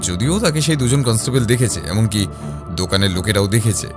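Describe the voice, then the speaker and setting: A man playing a composed detective who recounts his investigation in a measured, explanatory first-person narration. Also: lowish voice, lively intonation, close to an everyday voice. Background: background music.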